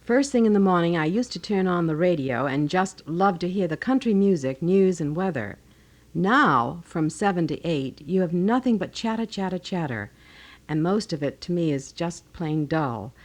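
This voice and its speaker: A woman co-hosting a radio show, reading listener letters aloud, on a 1980 local broadcast. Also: fairly low pitch. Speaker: radio co-host. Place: radio studio.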